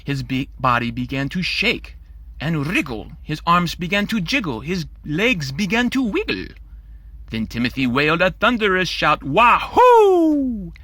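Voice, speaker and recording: A man's voice: fairly high pitch, sing-song, normal speed, bright, forceful tone, no echo. A man narrating a rhyming children's storybook in a theatrical, playful way.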